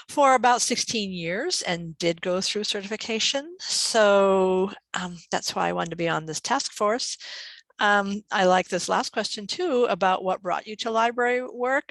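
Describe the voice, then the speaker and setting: American woman doing a casual intro in an online meeting, dry sound, medium pitch. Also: a bit bright, dry recording. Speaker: state library staff member.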